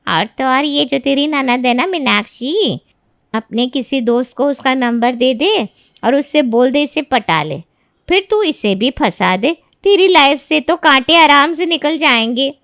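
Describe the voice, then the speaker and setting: Woman playing a high-voiced, scheming young friend. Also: animated, unhurried, a bit bright, no echo, clean studio sound, exaggerated voice. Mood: sly, goading. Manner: sly, goading. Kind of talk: dramatised moral story.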